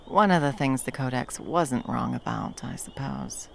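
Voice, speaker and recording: A woman playing a battle-hardened, fiercely protective monster hunter in an intimate ASMR roleplay, speaking to a lover with dry, tender menace and a gravelly voice. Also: rumbling low, very animated, slight background noise, heavily acted. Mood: cool, matter-of-fact. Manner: menacing yet tender, slow deliberate storytelling with dry understatement.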